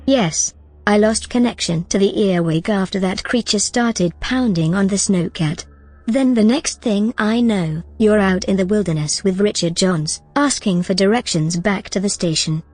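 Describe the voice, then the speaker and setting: An actress voicing a calm, precise station assistant woman in an audio drama, speaking in an even, measured way. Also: medium pitch, close to an everyday voice.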